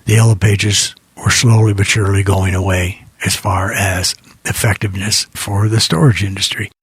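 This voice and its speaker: A man's voice: on the low side, breathy edge, dry recording. An older American man hosting an internet radio show, talking in a rambling, reflective, conversational way between songs. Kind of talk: casual monologue between songs.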